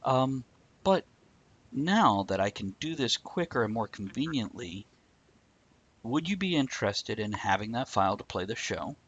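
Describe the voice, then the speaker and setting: A man's voice: mid-range voice, sing-song, a little slow, a bit bright, husky, highs cut off. A man hosting a live stream, chatting casually with a guest and explaining things at length. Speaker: host.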